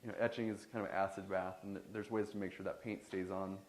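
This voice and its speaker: A man, an artist, answering audience questions in a casual, halting way, with many fillers and restarts. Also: deep voice, little pitch movement. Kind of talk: live Q&A at a talk. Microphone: handheld microphone. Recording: echoey room on a hand mic.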